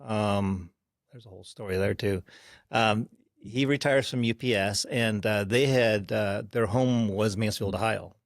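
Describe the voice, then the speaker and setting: A man in his sixties, a podcast guest, recalling his childhood in an unhurried way with frequent pauses. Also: on the low side, no room sound, clean studio sound. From Korea. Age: 60s. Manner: unhurried, pausing with ums while recalling. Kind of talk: storytelling interview.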